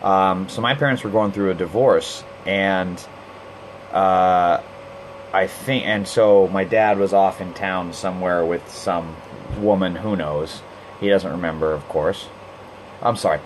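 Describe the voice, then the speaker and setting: A man's voice: deep voice, slight background noise, low-quality recording. A man from Costa Rica hosting a live stream, talking bluntly and heatedly in a casual monologue, swearing freely and often restarting his sentences.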